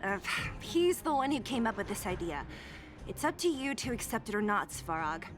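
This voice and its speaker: A young woman playing a wry, no-nonsense fighter in a video game, with a dry, measured delivery. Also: medium pitch, sing-song, over music, natural voice. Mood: exasperated. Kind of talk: scripted video game dialogue.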